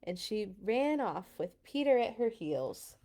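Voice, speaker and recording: A woman narrating a children's storybook aloud in an expressive read-along style. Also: medium pitch, slow.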